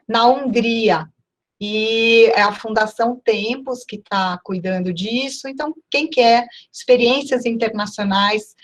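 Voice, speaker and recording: Heard first is an unhurried, rather high woman's voice, a professor from Porto Alegre. She gives a closing talk at a university event in a measured, formal lecturing way. Her words come slowly and with care. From Porto Alegre, Brazil. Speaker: guest professor.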